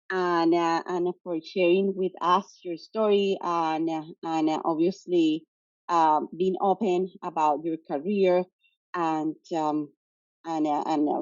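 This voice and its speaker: A woman's voice: fairly low pitch, darkish tone, no room sound, highs cut off. A woman hosting an interview, speaking hesitantly with many fillers. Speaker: host.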